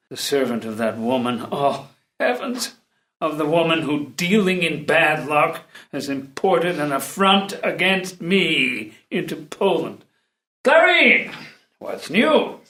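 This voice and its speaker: A man's voice: on the high side, animated, slow, pushed and bright, full character voice. A man reading the part of a dutiful, honour-bound royal tutor in a classical play, courtly and slightly hesitant in delivery. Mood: agitated, surprised. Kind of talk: unrehearsed dramatic play reading of classical comedy. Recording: echoey room.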